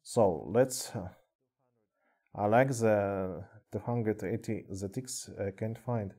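A man with a Russian accent casually chatting on a live stream in halting English, with many fillers. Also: fairly low pitch, dark tone. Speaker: streamer showing toy car collection. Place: indoor room with cluttered table. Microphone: webcam mic.

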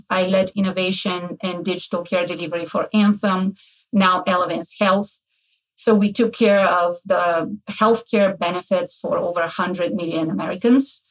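A woman's voice: mid-range voice, poor audio. A woman from Bulgaria, a healthcare executive, speaking as a guest in a poised, articulate way. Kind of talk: podcast interview.

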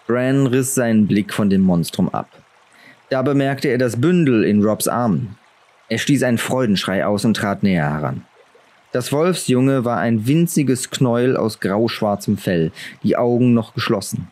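A man's voice: medium pitch, lively intonation, unhurried, a little mellow. A man reading a fantasy novel aloud as a dramatic audiobook narrator.

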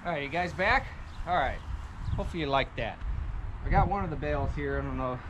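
A man's voice: fairly high pitch, a little fast. A man in his thirties chatting casually to the camera while doing chores on his farm, plainspoken and thinking aloud as he works. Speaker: hobby farm vlogger. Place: outdoors on a farm, by a barn and garden. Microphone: camera on a tripod a few meters off. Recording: loud outdoor noise on a camera mic. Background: outdoor ambience with a lawnmower engine.

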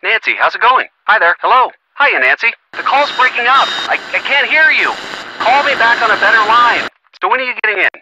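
An actor playing an earnest, gently sardonic young American boyfriend in a video game, talking conversationally and expressively with a youthful voice. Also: male voice, extremely high pitch, big pitch swings, brisk, background noise. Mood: friendly. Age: young adult. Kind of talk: video game phone-call dialogue.

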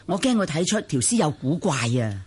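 低沉、精明多事嘅媒婆. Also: female voice, low-pitched, big pitch swings, a little fast, a little mellow, husky, exaggerated voice. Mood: anxious, urging. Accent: Hong Kong Cantonese. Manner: fussy, bustling. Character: meddling, shrewd older female go-between. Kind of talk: Cantonese radio drama acting.

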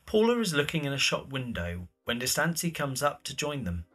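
A man's voice: mid-range voice, huge pitch swings, moderate pace, a bit bright, faint music behind. A man narrating scripted stage directions for an audio drama.

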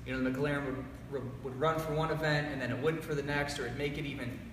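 An upbeat young man hosts a live announcement in a large showroom garage. His voice rings off the hard walls and sits far from the camera, with steady noise underneath. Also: mid-range voice, fast. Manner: upbeat, presenter-like, reads out viewer comments. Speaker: company host presenting a live announcement. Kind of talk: live-streamed company announcement. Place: large echoey garage showroom with cars, indoors. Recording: echoey room from far off, background noise.

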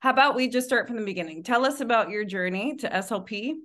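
A woman's voice: on the high side, fast talker, a bit bright. A woman hosting a podcast and interviewing a guest. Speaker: podcast host.

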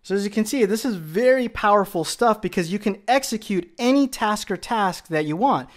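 Male tech presenter, voice a touch high, casual home demo delivery. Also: lively intonation, normal speed. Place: indoors in a home room.

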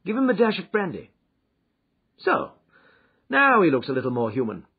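A man voicing a sharp, commanding male detective who speaks briskly and forcefully. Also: high voice, wildly swooping pitch, slightly rough, voice pushed up, exaggerated voice. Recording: dull lo-fi recording.

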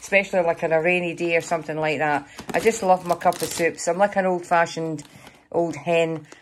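Chatty Scottish woman, slightly hoarse. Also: lowish voice, steady tone, fairly quick, slight background noise. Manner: chatty, rambling, with fillers and small laughs. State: slightly hoarse, losing her voice. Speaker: vlogger showing a grocery haul. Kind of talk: casual chat to camera. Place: kitchen counter at home, indoors.

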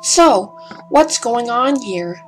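A woman's voice: on the high side, expressive, extremely slow, faint music behind, rough recording. A woman narrating a scripted amateur documentary, her delivery a bit hesitant and breathless at times.

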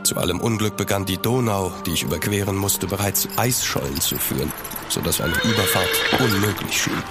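A low-voiced gentleman storyteller. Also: over loud music. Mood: grave, reflective. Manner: measured literary storytelling. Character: gentleman traveller recounting his own eerie journey. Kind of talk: scripted first-person audio drama narration.

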